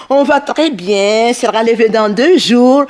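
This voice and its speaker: An actress in a 1960s French radio drama playing a naive, talkative, cheerful cook who speaks in broken, simple phrases. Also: female voice, fairly high pitch, slow pace, a little mellow. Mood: cheerful, gossipy.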